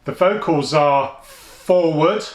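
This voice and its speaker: A man's voice: slow, reverberant. A man, chatting through a casual headphone review at home and thinking aloud as he goes. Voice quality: deep voice. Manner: chatty, thinking aloud, with frequent restarts and fillers. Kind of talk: casual product review vlog. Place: at home, indoors.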